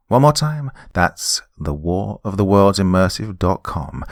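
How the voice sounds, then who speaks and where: A British man hosting and narrating a horror podcast, reading scripted material with dramatic, deliberate, emphatic phrasing. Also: deep voice, dry recording, clean studio sound.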